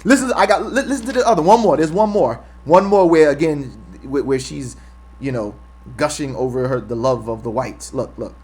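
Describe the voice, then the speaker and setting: A man's voice: a little high, moderate pace, a little mellow, slightly rough. A man hosting his own commentary show, arguing emphatically and repeating his points with frequent rhetorical "right?". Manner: emphatic, repetitive, argumentative. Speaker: host. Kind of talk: opinion commentary on a clip.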